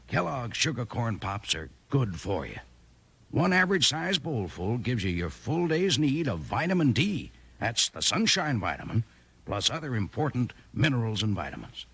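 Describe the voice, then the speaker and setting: Gravelly, lively man pitching on old radio. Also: dry recording. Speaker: commercial pitchman. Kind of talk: folksy 1950s radio cereal ad to children. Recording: noisy old radio recording.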